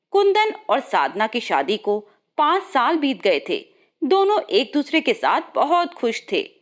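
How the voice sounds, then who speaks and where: A woman reading a scripted moral story as its narrator. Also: on the high side.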